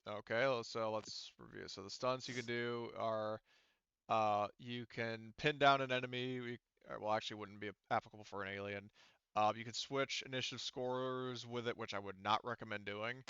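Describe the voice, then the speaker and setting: Bright, clear-voiced man as game master. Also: mid-range voice, fairly even intonation, moderate pace, dry recording, highs cut off. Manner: explanatory, thinking aloud. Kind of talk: casual game-mastering of an online tabletop session.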